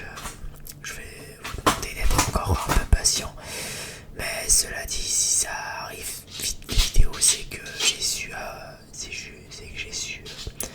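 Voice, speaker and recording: A person whispers a breathy, hesitant, rambling ASMR chat to viewers as a video creator.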